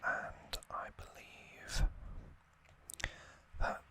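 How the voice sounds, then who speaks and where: A man hosting an ASMR unboxing, speaking in a soft, breathy whisper with an unhurried, musing manner. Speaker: ASMR video host.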